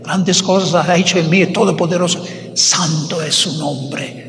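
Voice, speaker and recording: Man preaching, non-native speaker, slow halting delivery, high voice, hall reverb, faint background hum. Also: fairly bright tone. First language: not Spanish. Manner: slow, halting, searches for words. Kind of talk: sermon.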